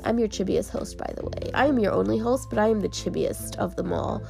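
A woman's voice: medium pitch, animated, a little fast, vocal fry, music in the background. A woman hosting her own podcast in casual, bubbly chat, sounding congested and nasal from a cold. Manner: bubbly and chatty, laughs often. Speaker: podcast host.

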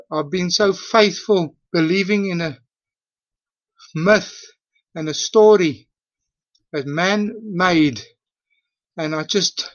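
A South African man in his late fifties speaks slowly in a fairly high voice. He gives an earnest, halting personal testimony, leaning hard on his words. The sound is a dull, lo-fi voicemail recording. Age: late 50s. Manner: earnest, halting, emphatic, repeats himself. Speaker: voicemail caller.

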